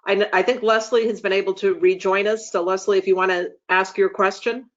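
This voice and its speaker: High-voiced man moderating a muffled call. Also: steady tone, fairly quick. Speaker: meeting moderator. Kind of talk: moderating an online meeting. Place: remote online meeting call. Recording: muffled video meeting audio.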